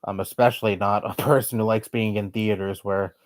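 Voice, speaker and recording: Young male streamer, low-pitched, blunt chatty rambling, dry close mic. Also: fairly even intonation, fairly quick, dry recording. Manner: blunt, swears often, rambling opinions. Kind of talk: casual live-stream chat.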